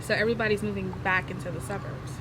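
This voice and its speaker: A woman in her thirties, originally from Guyana, a high school teacher answering street interview questions in a relaxed, frank, conversational way. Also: fast. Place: outdoors on a city street. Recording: loud music and traffic behind.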